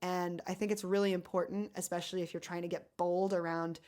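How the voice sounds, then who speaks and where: A woman with a deep voice, co-hosting a casual advice podcast, earnest and encouraging and quick to laugh. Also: level tone, a little mellow. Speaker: podcast co-host.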